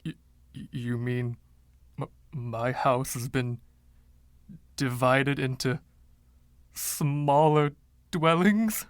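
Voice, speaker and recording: A man's voice: mid-range voice, drawn-out words, long pauses, smooth, dry recording, studio quality. A man voicing the haughty, indignant ghost of a rich 1920s dandy, pompous and fussy, with old-fashioned slang, in a story reading. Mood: appalled.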